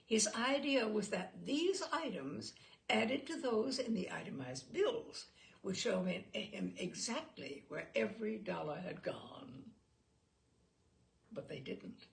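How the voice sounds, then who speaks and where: A woman reading a literary short story aloud as a storyteller, in an animated, theatrical manner. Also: mid-range voice, takes it slowly, creaky.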